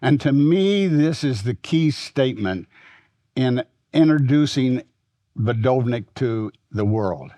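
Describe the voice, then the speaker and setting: An older man with a Texas drawl lectures about history, reminiscing and wandering through his story with frequent fillers and restarted phrases. His voice sits at an ordinary male pitch, and the recording is close and dry with almost no room sound. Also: lively intonation, slow pace, mellow, dry recording. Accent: Texan. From Texas, United States. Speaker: symposium speaker.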